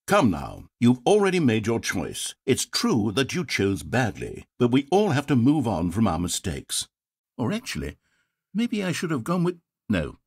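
A man's voice: huge pitch swings, a little slow, breathy edge, no echo, full character voice. An actor with a British accent playing a smug, scheming male game narrator, speaking theatrically. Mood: musing. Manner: theatrical, swinging wildly in pitch. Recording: dry studio voice-over.

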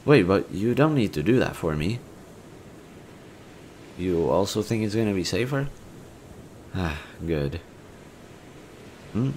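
Low-voiced, kind, bashful young man acted by a man. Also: darkish tone, a little hiss or hum. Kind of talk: audio roleplay speaking softly to the listener.

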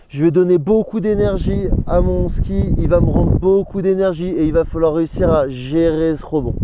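A man's voice: a little high, background noise. A man in his thirties, standing on a snowy mountain slope, explaining ski technique step by step as an instructor, with a clip-on mic. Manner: explains step by step, in first person.

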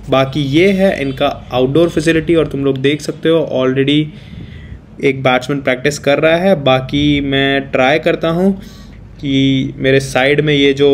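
A man's voice: fairly high pitch, slight background noise. A man walking viewers through an outdoor cricket stadium, explaining things casually in a mix of Hindi and English. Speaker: cricket vlogger giving a stadium tour. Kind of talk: walking tour vlog, mixing Hindi and English.

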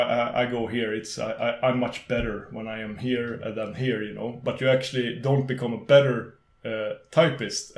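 Swedish guy, first language swedish, chatty screen tutorial, lots of uh and um, middle pitch, roomy echo. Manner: rambling, many fillers and restarts. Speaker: tech video host. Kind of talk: casual screen-recorded tutorial.

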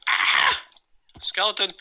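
A teenage boy chatting while gaming, excitable and quick to exclaim and swear. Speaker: gamer. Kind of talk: casual chat while playing.

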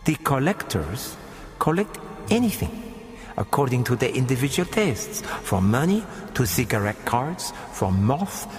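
An actor voicing a courteous, gloating killer in a radio thriller, speaking with formal, mincing politeness. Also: male voice, medium pitch, very animated, a little slow, in a large hall, soft background music. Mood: suave menace. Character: suave, menacing male murderer, courteous and gloating. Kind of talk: 1950s radio thriller acting.

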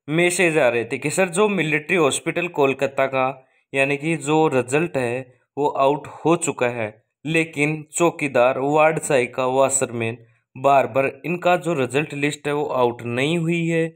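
Clear-voiced man giving an informal update. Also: on the high side, unhurried. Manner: repetitive, filler-heavy phrasing. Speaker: video host giving exam result updates. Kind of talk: informal explainer narration for online viewers.

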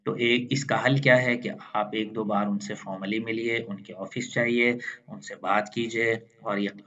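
Man, lesson voice-over, low and even pitch, measured. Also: highs cut off. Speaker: channel host. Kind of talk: explaining a mind map lesson.

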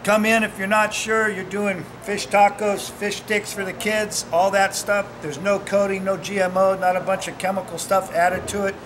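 Upbeat fishmonger guy, fifties, kinda high voice, joking around while he cooks, noisy room. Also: flat delivery, moderate pace, few pauses. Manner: upbeat, joking, salesman-like chatter. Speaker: fishmonger demonstrating seafood. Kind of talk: casual cooking demo. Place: small shop kitchen indoors.

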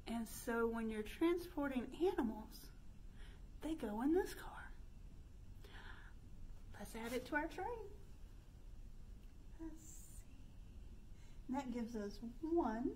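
Female storytime leader, 30s, high voice, exaggerated sing-song delivery for preschoolers, noticeable background noise. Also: low-quality recording. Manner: sing-song, exaggerated, asking questions to young children. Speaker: children's librarian leading storytime. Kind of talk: interactive children's storytime with chants. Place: indoor room by a stone fireplace.

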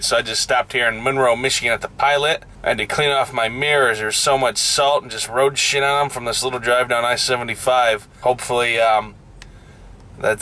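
A man's voice: mid-range voice, pushed and bright. A young man, a truck driver, talking in a blunt, profane, casual way from his truck cab to a dashboard camera. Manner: blunt and profane, raised and heated in a rant. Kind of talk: casual vlog talk.

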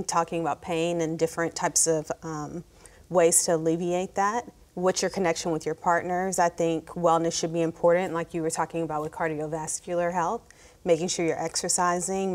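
This is a woman in her forties with a notably low voice. She talks in a conversational way as an expert at a round-table discussion in a TV studio. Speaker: expert panelist. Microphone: lapel mic.

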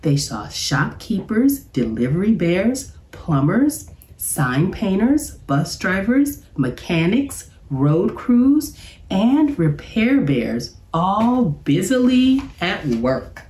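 A middle-aged woman, a teacher, reading aloud to children with expressive, careful enunciation in an echoey room. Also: medium pitch. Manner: expressive, deliberate enunciation, addressing young listeners. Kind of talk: children's read-aloud storytelling. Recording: roomy, echoey sound.

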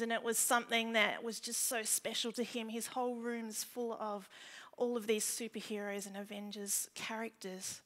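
Even-toned Australian woman presenting. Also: a little high. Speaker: keynote speaker, photographic digital artist. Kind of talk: prepared stage presentation, personal storytelling. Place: large indoor theatre stage. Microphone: headset.

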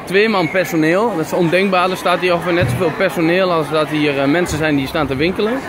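A man's voice: a little high, steady tone, quick pace. A man the gives casual, off-the-cuff vlog commentary in an informal, marvelling way. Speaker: travel vlogger. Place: large busy indoor supermarket. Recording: very noisy crowded supermarket ambience. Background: loud crowd and store noise.

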